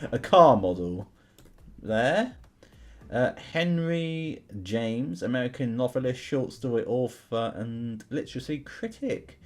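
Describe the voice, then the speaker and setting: A man's voice: medium pitch, a little mellow. A young man giving casual, chatty gameplay commentary, informally thinking aloud as he goes. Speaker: gaming video host. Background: music behind the voice.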